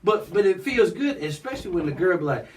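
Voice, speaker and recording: A man with a naturally high-pitched voice talks loosely as an interview guest. He rambles and keeps circling back with "you know what I'm saying." The mic sits at some distance from him. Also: sing-song, brisk. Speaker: guest, nonprofit founder. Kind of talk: casual interview conversation.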